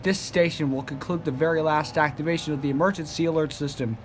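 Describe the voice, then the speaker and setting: A man reading a solemn amateur emergency broadcast as announcer, in a grave, deliberate, halting way. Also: on the high side, steady tone, a little hiss or hum.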